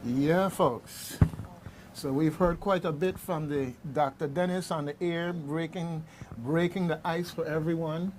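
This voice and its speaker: A man hosting a radio discussion, conversational and halting, often repeating phrases as he goes. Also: fairly high pitch, fairly even intonation, darkish tone, a little hiss or hum. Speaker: radio host. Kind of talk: unscripted discussion and commentary. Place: radio studio. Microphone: studio microphone.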